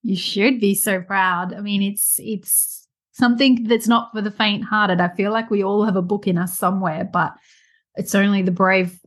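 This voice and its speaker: Husky woman hosting a podcast. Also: medium pitch, steady tone, moderate pace, fairly bright tone. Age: 40s. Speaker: podcast host. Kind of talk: casual interview chat.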